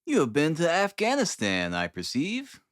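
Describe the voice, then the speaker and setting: Guy doing an excitable eccentric scientist man, high swoopy voice, very emphatic, audiobook style. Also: normal speed, no room sound, studio quality. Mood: cordial, eager. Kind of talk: audiobook character dialogue.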